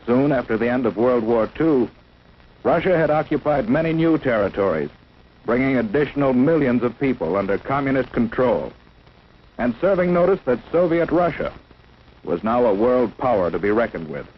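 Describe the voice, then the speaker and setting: A man's voice: mid-range voice, expressive, moderate pace. An American man reads a scripted 1950s instructional film narration in a formal, solemn, declarative manner. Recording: dull, noisy 1950s film soundtrack.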